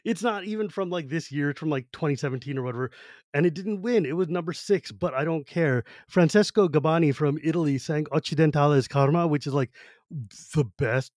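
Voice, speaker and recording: An American man hosting a podcast, chatting in an informal, emphatic and animated way. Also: a little high, a little fast, no echo, studio quality. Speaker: podcast host. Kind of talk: casual chat.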